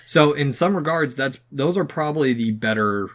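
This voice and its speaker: Bright-voiced man chatting on a podcast. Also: mid-range voice, expressive, normal speed. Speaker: podcast host. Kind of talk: casual podcast discussion.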